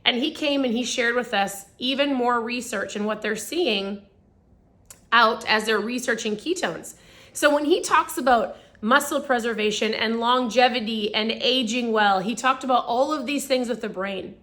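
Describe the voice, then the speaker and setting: A chatty woman in her forties hosts a live stream, talking straight to her viewers in an upbeat way. Her voice is fairly high and very bright, yet hoarse and a little raspy. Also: moderate pace. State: hoarse from screaming. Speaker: host of a live video. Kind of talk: casual live-stream chat.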